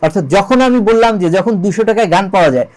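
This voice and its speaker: High-pitched Bangladeshi man, emphatic interview guest. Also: animated, quick pace, a little mellow. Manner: emphatic, uses rhetorical questions and repetition. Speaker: interview guest, songwriter and composer. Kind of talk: earnest interview storytelling with English loanwords.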